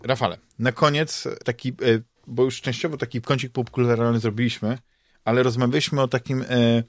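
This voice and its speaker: A man's voice: mid-range voice, fairly even intonation, a little fast, dry recording. Polish man chatting casually, very dry sound. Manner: hesitant, rambling, repeats words while searching for phrasing. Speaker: podcast host. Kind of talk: casual chat about games and comics.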